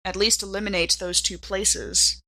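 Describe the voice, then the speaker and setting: A woman's voice: medium pitch, steady tone, normal speed, pushed and bright. A woman playing in a tabletop audio drama, bantering in a wry, chatty way. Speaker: player in an actual-play game. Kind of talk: casual in-character tabletop banter.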